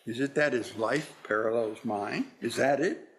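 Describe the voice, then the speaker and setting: A man's voice: medium pitch, moderate pace, slight background noise, lightly acted. A man playing an anxious, repentant old miser, pleading theatrically in a radio play. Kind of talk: stage radio play acting.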